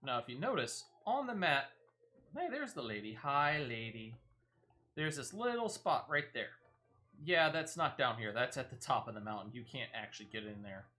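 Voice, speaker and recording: A man's voice: medium pitch, sing-song, moderate pace, bright, forceful tone. A man giving casual gameplay commentary, explaining his moves step by step as he plays and offering tips to viewers. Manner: explains step by step, talking through own actions. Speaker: game streamer and player.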